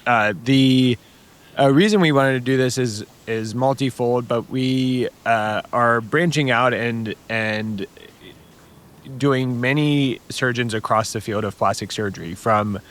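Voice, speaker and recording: A man's voice: medium pitch, a little slow, fairly bright tone. A man hosting a podcast, talking casually as he introduces and questions his guests. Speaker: podcast host. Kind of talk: casual interview talk.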